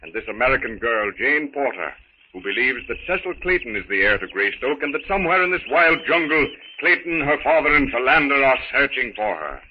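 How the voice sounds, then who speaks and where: A man's voice: mid-range voice, sing-song, fairly quick, natural voice. A man narrating a jungle adventure serial in an earnest, dramatic 1930s radio style on a muffled, hissy recording. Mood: earnest, suspenseful.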